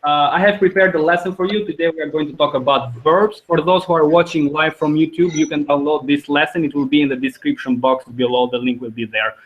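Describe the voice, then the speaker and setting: A man's voice: a little high, steady tone, fast talker, few pauses. A young man from Albania with an Albanian accent, teaching an informal online language lesson as host. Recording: dull lo-fi video call audio.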